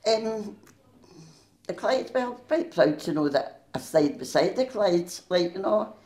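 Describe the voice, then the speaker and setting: An older Scottish woman with a gruff Glaswegian voice tells stories from her life in a chatty way and laughs easily. She speaks into a handheld mic in a small room with a noticeable echo. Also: medium pitch, lively intonation, measured pace, a little mellow. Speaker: interviewee. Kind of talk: oral history interview. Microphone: handheld mic held near her.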